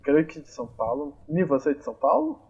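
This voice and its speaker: A young man hosting a podcast in a relaxed, casual way, thinking aloud with many fillers, recorded on amateur home gear. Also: on the high side, a little fast, slightly rough, lo-fi sound. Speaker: podcast host. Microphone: amateur home recording, phone or computer.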